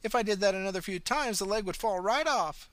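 Male narrator doing a high cackling granny, playful and sly. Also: expressive, a little fast, a bit bright, no room sound. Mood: mischievous. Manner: high, sing-song, cackling. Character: mischievous alien in an old woman's body.

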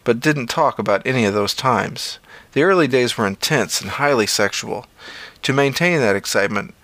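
A man's voice: lowish voice, vocal fry, a bit bright, dry recording. A man narrating a scripted first-person story as an audio fiction reader.

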